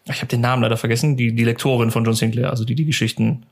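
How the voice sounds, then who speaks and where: Male podcast co-host, medium pitch with plenty of creak, informal and easygoing. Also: fairly even intonation, racing. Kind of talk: casual podcast chat.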